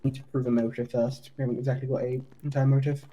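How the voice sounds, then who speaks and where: A man improvising procedural talk as a police detective in online roleplay, halting and informally official. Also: medium pitch, level tone, a crowd in the background.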